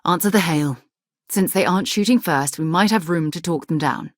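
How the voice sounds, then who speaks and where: An actress playing a principled, dryly witty space explorer companion in a video game, speaking in an articulate, earnest, measured way. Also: female voice, on the low side, no echo, close to an everyday voice. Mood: composed, alert.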